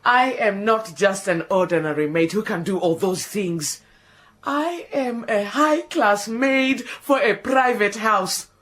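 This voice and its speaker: A woman's voice: on the low side, big pitch swings, unhurried. A woman voicing a proud, indignant housekeeper, theatrical and prone to wailing. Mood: anguished. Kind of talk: radio drama acting.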